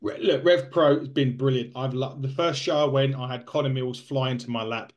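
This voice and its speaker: A man's voice: mid-range voice, fairly even intonation, fairly quick, darkish tone, muffled recording. A man hosting an interview podcast, friendly and joking with his guest. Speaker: podcast host. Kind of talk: casual interview chat.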